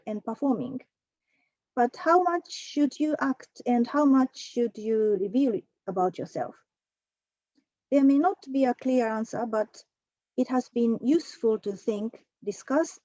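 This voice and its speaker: A woman presenting a prepared academic talk at an online conference, measured, with a few fillers. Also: fairly high pitch, dark tone, no room sound, highs cut off. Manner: measured, reads from a prepared text with occasional fillers. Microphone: computer mic for an online talk.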